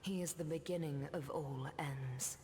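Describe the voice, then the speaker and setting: Ancient, slow, cavernous-sounding mystic seer. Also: female voice, deep voice, mellow, a little hiss or hum. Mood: ominous. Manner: solemn, cryptic, archaic phrasing. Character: ancient mystical female seer who weaves threads of time. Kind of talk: scripted fantasy game dialogue. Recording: long cavernous reverb.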